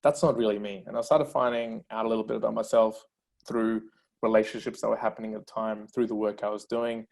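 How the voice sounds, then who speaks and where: A man's voice: lowish voice, fairly quick, creaky. A man in his thirties, born in Colombia and a Spanish speaker, telling his life story as a guest in a casual interview. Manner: energetic storyteller, many fillers.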